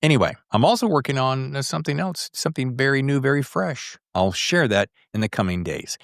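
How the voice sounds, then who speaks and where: A man's voice: mid-range voice, lively intonation, brisk, vocal fry, no room sound, clean studio sound. A middle-aged or older man hosting a podcast in a casual, playful and rambling way, with self-teasing asides. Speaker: podcast host.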